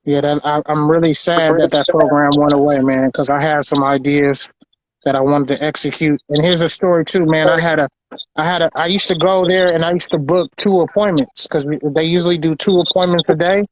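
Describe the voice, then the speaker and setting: American male collector, fairly high-pitched, chatty and informal, doubles back on phrases. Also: steady tone, fairly quick, low-quality recording. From Los Angeles, United States. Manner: repeats phrases, informal slang. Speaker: sneaker collector and designer presenting his pairs. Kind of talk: casual show-and-tell chat.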